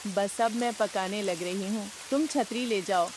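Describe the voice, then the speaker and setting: A woman's voice: medium pitch, measured pace, natural voice. A woman voicing a stubborn, bossy but homely village wife in a cartoon story, insistent and given to scolding. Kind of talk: cartoon story dialogue acting. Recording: hiss with rain and sizzle noise.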